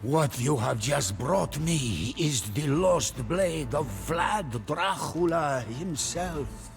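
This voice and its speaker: A mysterious old castle host, acted by a man for a game cutscene. He speaks slowly and gravely, with theatrical command, while music plays clearly behind him. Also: a little high, animated, voice pushed up, full character voice. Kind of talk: game cutscene character acting.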